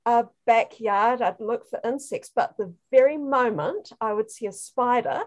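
Upbeat woman with a New Zealand accent and a fairly high voice, guest on a video call interview. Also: a little slow. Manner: enthusiastic storyteller. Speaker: guest researcher.

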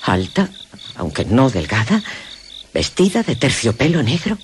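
An actress playing an excitable, sheltered young noblewoman in a Castilian Spanish radio drama, describing things theatrically in halting phrases. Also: rumbling low, slight background noise, full character voice. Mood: hushed observing. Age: young adult.

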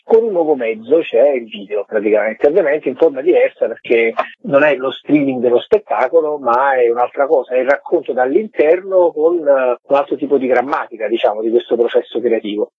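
Husky man on a tinny phone line. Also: mid-range voice, brisk, no echo. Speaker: interviewed film director. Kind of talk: radio interview, conversational explanation. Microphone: telephone.

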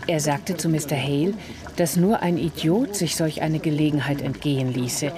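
An actress playing an observant, talkative neighbour giving witness testimony in a radio crime drama, telling it deliberately and with emphasis. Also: female voice, low voice, lightly acted. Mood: earnest, emphatic.

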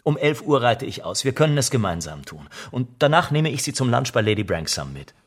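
An actor in a German radio drama playing a worldly, cynical, middle-aged aristocrat with an urbane, ironic, theatrical delivery. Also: male voice, medium pitch, very animated, brisk. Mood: urbane, dismissive. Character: worldly, cynical aristocratic older friend, witty and languid.

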